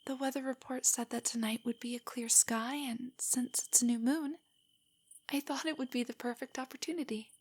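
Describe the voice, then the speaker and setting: A woman playing a shy, nerdy young girlfriend in an intimate audio roleplay, soft and breathy, stammering with nerves. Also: fairly high pitch, fairly even intonation, moderate pace. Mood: nervous, shy. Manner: nervous, stammering, hesitant rambling. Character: shy, nerdy, easily flustered young adult girlfriend on a date.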